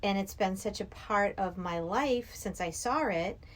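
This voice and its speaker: A middle-aged woman with a mid-range voice is a guest on a podcast. She chats informally, feeling her way through her thoughts in a halting, conversational manner. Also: medium pitch. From Massachusetts, USA. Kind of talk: casual chat.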